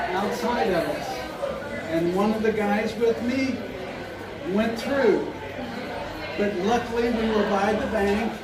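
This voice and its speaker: Slow, deliberate storyteller on stage. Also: medium pitch. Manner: slow, deliberate, matter-of-fact. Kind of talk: personal story told on stage. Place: bar venue with a live audience. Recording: roomy echo from a distant mic with loud crowd.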